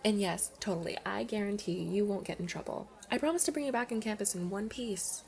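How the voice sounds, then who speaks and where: A woman's voice: mid-range voice, brisk, vocal fry, a little mellow, lightly acted. A woman playing a playful, pleading young alien princess at college in an ASMR roleplay, chatting and coaxing the listener directly. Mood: delighted.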